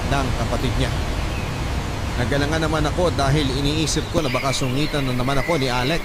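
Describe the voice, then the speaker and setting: A man with a Filipino accent reading a letter as scripted first-person story narration, as host of a radio drama. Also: medium pitch. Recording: loud music bed. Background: loud background music.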